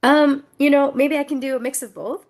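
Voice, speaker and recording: A woman's voice: high-pitched, steady tone, a little fast. A woman author talking about her memoir, a little congested from a cold, telling stories with frequent laughs. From Southern California. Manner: laughs often, warm and storytelling. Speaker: author guest. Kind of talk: conversational book talk.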